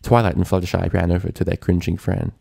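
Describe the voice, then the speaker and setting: Low creaky guy reading a story out loud, dry sound. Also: flat delivery, fast talker, no room sound. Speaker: fan fiction reader, narrator. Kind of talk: scripted story narration.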